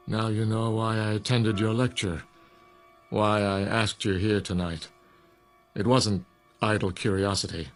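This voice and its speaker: An actor playing an old science professor in a radio drama, sceptical, proud and frightened, with a pointed, challenging manner. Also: male voice, on the low side, steady tone, measured pace, close to an everyday voice. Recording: radio drama with music underneath.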